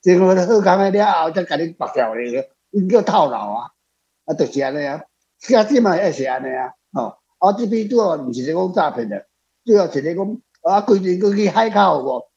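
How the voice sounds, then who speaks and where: A man's voice: high-pitched, expressive, brisk, a little mellow. An older man with a Taiwanese accent talking casually as a guest author, rambling and adding frequent tag particles. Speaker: author answering readers as a guest. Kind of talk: casual spoken discussion. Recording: slightly dull, lo-fi sound.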